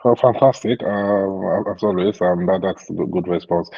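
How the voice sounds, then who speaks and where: A man with a very deep voice asks his questions in halting bursts, stopping often for "um". His tone is husky and mellow. The sound is dull and lo-fi. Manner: hesitant, many ums, halting questions. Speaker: interview host.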